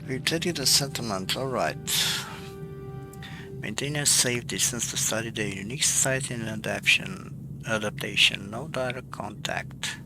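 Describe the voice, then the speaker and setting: A man's voice: mid-range voice, moderate pace, low-quality recording. A man giving casual commentary while playing a game, thinking aloud half to himself. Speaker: gamer. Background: loud game music.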